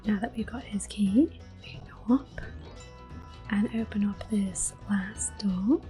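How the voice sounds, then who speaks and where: A woman giving gentle, unhurried ASMR game commentary in a breathy, whispery voice. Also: mid-range voice. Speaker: gameplay host. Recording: music behind the voice. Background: soft game music.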